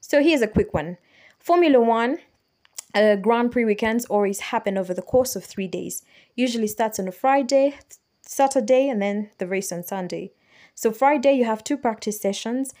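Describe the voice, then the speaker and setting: A woman's voice: on the high side, lively intonation, normal speed, dark tone. A Ugandan woman hosting a solo podcast, chatting and explaining things patiently for newcomers, correcting her own slips as she goes. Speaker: podcast host.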